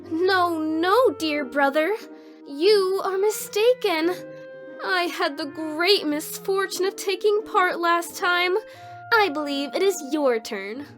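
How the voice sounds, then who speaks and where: A woman's voice: extremely high pitch, takes it slowly, fairly bright tone, soft background music, exaggerated voice. A woman playing a haughty, teasing sister in an audio drama, smug and mock-formal.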